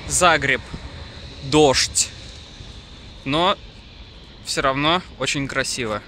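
Молодой мужчина снимает поездку и с юмором комментирует происходящее за кадром. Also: male voice, mid-range voice, very slow. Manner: wry, joking remarks to camera. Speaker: travel vlogger filming the trip. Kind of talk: casual handheld travel commentary. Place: on a moving tour bus and rainy city streets. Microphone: handheld camera mic. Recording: noisy handheld camera audio. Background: loud traffic and bus noise.

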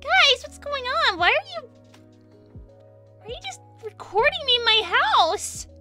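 A woman voicing an excitable, anxious young female cartoon hedgehog, girlish and insistent, chatting in character on a livestream. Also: extremely high pitch, unhurried, faint music behind, full character voice. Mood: alarmed, indignant. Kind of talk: improvised in-character livestream chat.

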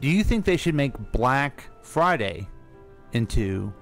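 An American man chats casually as a radio co-host. His intonation is lively around a medium pitch, and his manner is informal and prompting. Faint music plays behind him. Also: takes it slowly.

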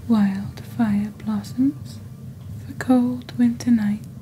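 Young female ASMR presenter, breathy near-whisper, very mellow and dark tone, deliberate scripted read, faint ambient noise. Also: on the high side, fairly even intonation, poor audio. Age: young adult. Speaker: ASMR host playing a shopping channel presenter. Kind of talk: soft-spoken ASMR, scripted product presentation.

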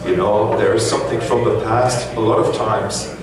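A man's voice: lowish voice, fairly even intonation, normal speed. A man from Germany with a German accent, talking to a concert audience between songs in a rambling, self-mocking way with many restarts. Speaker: musician addressing a concert audience. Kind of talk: casual stage banter between songs. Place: live music club, on stage. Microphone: stage vocal mic through the venue PA. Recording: distant, echoey club recording with crowd.

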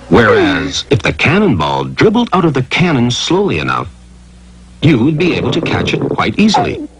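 A man's voice: fairly high pitch, noisy background. A man narrating an educational cartoon from a script in a theatrical, emphatic way, posing rhetorical questions.

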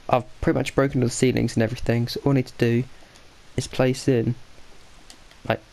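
A man's voice: mid-range voice, level tone, normal speed, vocal fry, darkish tone. A man with a blocked, stuffy nose giving casual, rambling commentary while he plays a game, often correcting himself and muttering to himself. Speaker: gaming channel host.

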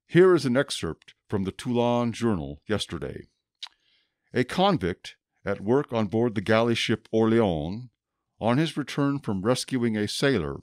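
Guy acting as a stern obsessive police inspector, deep and formal, radio play monologue, super dry sound. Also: no echo. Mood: cold finality. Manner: measured, formal, deliberate. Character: stern, obsessive male police inspector dictating his notebook.

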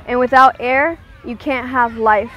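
A woman leading a guided meditation, giving gentle, soothing instructions and checking in with her listeners. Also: fairly high pitch, steady tone, unhurried, dull audio.

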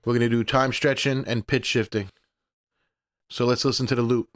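A man presenting a casual step-by-step software tutorial in a plainspoken, informal, instructional way. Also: mid-range voice, steady tone, normal speed, noisy background.

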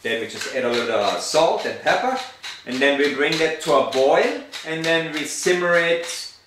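A man from Austria in his thirties hosts a home cooking show as the chef. He talks brightly through each recipe step. The sound is roomy and distant, picked up by a camera mic across a big kitchen. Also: on the high side, lively intonation, moderate pace, background noise. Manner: upbeat, explains steps while cooking. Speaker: chef and co-host. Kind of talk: casual cooking show presenting. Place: large home kitchen, indoors.

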